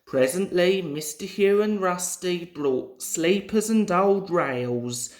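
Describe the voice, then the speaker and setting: A woman's voice: lowish voice, expressive, slow, darkish tone. A woman reading a children's story aloud as scripted narration in an echoey room. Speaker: storybook reader. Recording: roomy, echoing home recording.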